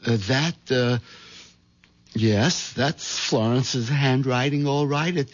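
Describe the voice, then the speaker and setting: Actor as nervous middle-aged husband, timid, mid-range voice, vintage radio drama, dull recording. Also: male voice, medium pitch, takes it slowly, natural voice. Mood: flustered, stammering. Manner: hesitant, stammering, flustered. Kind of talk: 1970s radio drama acting.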